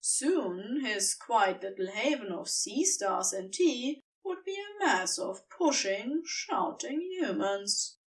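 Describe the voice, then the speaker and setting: Narrator wanted: woman, highish voice, expressive and slow-paced, reading a story, slightly off the mic. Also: recorded from a distance.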